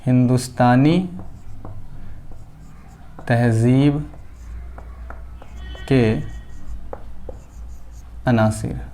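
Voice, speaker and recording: A male teacher giving a classroom lecture at a board, dictating names slowly word by word and answering his own questions. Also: medium pitch, steady tone, dark tone, soft background music. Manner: asks the class questions and answers them himself.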